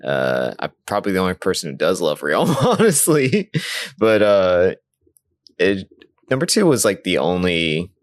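A man co-hosting a podcast, chatting casually about a video game in an animated way and often laughing as he talks. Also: low voice, normal speed, no room sound. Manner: animated, laughs while talking. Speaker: podcast co-host.

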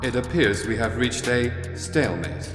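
A man's voice: medium pitch, expressive, normal speed, close to an everyday voice. A man playing an obedient, literal-minded spaceship computer in a science fiction audio drama, speaking formally and without emotion. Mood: formal, puzzled. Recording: echoey, long reverb, music behind.

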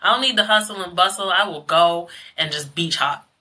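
A woman from Guyana and Trinidad, a podcast guest talking in a lively, emphatic, sassy way. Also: lowish voice, fairly quick. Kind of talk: casual podcast chat.

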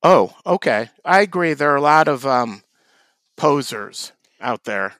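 A man co-hosting a podcast, delivering deadpan dry jokes in casual comedic chat. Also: mid-range voice, measured pace, no room sound. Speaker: podcast co-host.

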